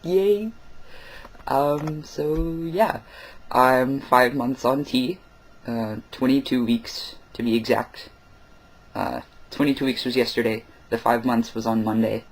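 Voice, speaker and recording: Young man, casual home vlog, candid, wry, many ums and uhs, mid-pitched voice. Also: fairly even intonation, slow, low-quality recording. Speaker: vlogger. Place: at home late at night.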